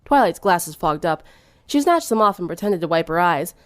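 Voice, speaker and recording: A woman's voice: fairly low pitch, very animated, normal speed, no room sound, clean studio sound. A woman reading fan fiction aloud as scripted third-person narration.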